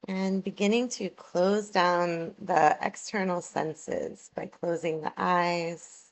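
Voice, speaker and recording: A woman guiding a yoga relaxation meditation, giving soothing, measured instructions with long pauses between phrases. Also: lowish voice, fairly even intonation, low-quality recording.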